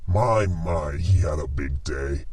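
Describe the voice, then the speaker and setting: A man voicing an imposing warrior in an animated fan dub, deliberate in manner, with music behind him. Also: a bass voice, slightly rough, no echo, heavily acted. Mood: mocking.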